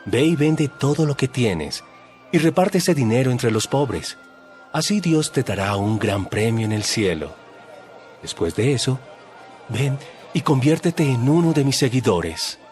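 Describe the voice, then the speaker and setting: A male actor playing a calm, authoritative religious teacher in a dramatized audio Bible, speaking in solemn, measured, emphatic phrases. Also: mid-range voice, soft background music. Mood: solemn instruction.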